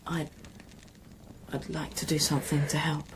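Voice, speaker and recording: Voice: mid-range voice, little pitch movement, normal speed, slight background noise, natural voice. An actor playing an earnest, anxious young boy fleeing war in a radio drama. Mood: subdued, concerned. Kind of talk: BBC radio drama acting.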